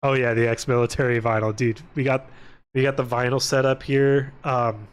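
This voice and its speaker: A man's voice: mid-range voice, flat delivery, normal speed, smooth. A man chatting casually on a livestream, informal and sweary, often interrupting himself and drifting onto tangents. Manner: profane, informal, tangent-prone, self-interrupting. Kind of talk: casual rambling livestream chat.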